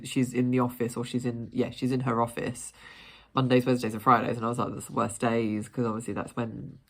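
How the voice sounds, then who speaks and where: A woman's voice: extremely low pitch, gravelly. A young woman, nasal and bunged up from hay fever, chatting to camera for her fitness vlog in a rambling way full of fillers. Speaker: fitness vlogger. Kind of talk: casual chat to camera.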